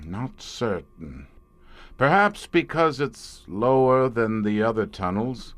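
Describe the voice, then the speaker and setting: An actor playing a weary, grim professor who commands a secret police squad, speaking formally and hesitantly in an audio horror drama. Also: male voice, lowish voice. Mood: brooding. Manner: measured, hesitant, formal, darkly matter-of-fact.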